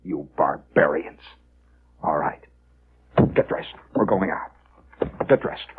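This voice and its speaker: A man's voice: extremely low pitch, slow pace, breathy edge, pitched below the performer's own voice, full character voice. A man voicing a fuming, defeated but stubborn father in a radio story, giving clipped, repeated orders. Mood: grimly resolute. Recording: muffled old radio recording.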